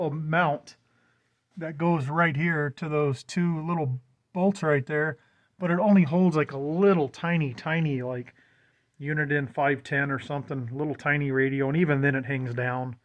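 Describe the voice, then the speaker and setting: A man's voice: fairly high pitch, fairly even intonation, moderate pace, lo-fi sound. An American man talking casually and off the cuff as he shows a homemade project, in a folksy, self-deprecating way. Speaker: DIY vlogger.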